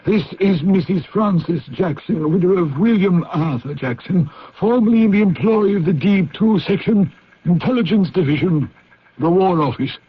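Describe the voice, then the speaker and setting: An actor playing a guarded, courteous government official in a radio drama, formal and officious with hesitant pauses. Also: male voice, high voice, animated, slight background noise. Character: formal, guarded British War Office official, knighted gentleman. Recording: dull, muffled old radio broadcast.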